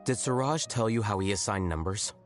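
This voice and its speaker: An aloof male scholar from a video game, voiced by an actor, blunt and coolly logical. He speaks in a low, measured voice without hurry. Game music plays clearly behind him. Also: close to an everyday voice. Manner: calm, measured, detached.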